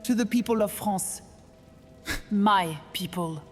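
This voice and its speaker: A woman playing a gentle, sorrowful young witch who has lived as a boy, speaking in an earnest, measured, halting way. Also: medium pitch, faint music behind, lightly acted. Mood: quiet pride.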